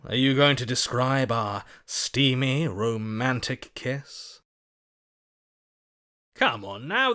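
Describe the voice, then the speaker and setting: An actor playing a lovestruck, gallant romantic hero in a video game, speaking in a florid, swooning, melodramatic way. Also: male voice, fairly high pitch, a bit bright. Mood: urgent, exasperated. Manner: florid, swooning, dramatic delivery. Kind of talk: theatrical video game voice acting.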